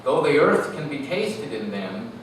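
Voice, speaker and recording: Man, author reading aloud, lyrical, deliberate, expressive, mid-pitched, distant and very echoey live recording. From Canada. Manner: animated, lyrical, deliberate phrasing. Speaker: author reading own work. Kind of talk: expressive literary reading to an audience. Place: live reading event in a room. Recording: echoey noisy room, distant mic.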